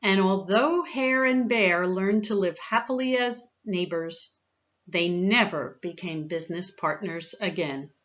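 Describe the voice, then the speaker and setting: Slow, bright-voiced woman reading a storybook. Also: lowish voice, dull audio. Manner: expressive, deliberate. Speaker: volunteer gardener reading a children's book aloud. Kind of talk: dramatized storybook narration.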